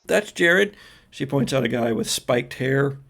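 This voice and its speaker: A man's voice: medium pitch, level tone, normal speed, breathy edge. A man working as game master, improvising narration for a tabletop roleplaying game in a casual, conversational way.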